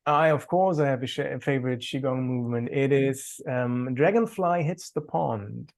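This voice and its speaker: A man's voice: mid-range voice, moderate pace, gravelly, darkish tone. A man with a German accent, a native German speaker, talking earnestly as a qigong teacher on a video call, sometimes searching for words.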